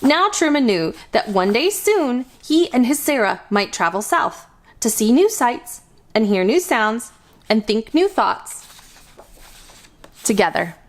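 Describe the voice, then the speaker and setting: A woman with a fairly high voice reads a picture book aloud for young children. Her delivery is highly animated, with big melodic swings. She phrases deliberately and expressively, as a storytime host does.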